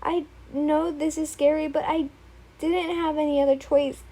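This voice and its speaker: A woman's voice: sits high, flat delivery, moderate pace, warm tone, voice pushed up, natural voice. Woman reading as a clingy, pleading young man. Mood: coaxing. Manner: pleading, emotional.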